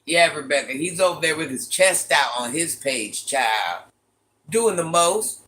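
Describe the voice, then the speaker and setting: An older woman with a very deep voice, hosting a casual livestream, reading viewer comments and playfully trash-talking. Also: lively intonation, measured pace, fairly bright tone. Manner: playful trash talk, sassy asides. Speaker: livestream host.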